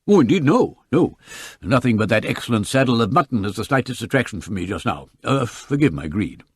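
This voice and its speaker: Male reader playing self-assured English courtroom advocate, affable and effusive, animated delivery with some rasp. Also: mid-range voice, a little fast, no echo, studio quality. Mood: jovial, eager.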